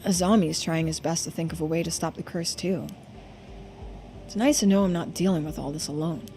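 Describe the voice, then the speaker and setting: A woman's voice: sits low, steady tone, brisk, mellow, faint music behind, voice pushed down. A woman voicing an earnest, anxious young man, the protagonist of a video game, in a conversational, hesitant way. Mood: hopeful. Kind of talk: video game dialogue read-aloud.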